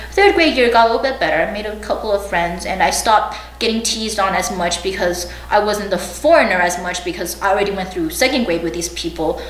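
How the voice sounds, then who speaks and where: A young woman from China and the United States casually recounting personal memories in an informal, hedging way as an interviewee. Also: medium pitch. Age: young adult. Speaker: oral history interviewee. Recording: roomy, distant mic, faint background noise.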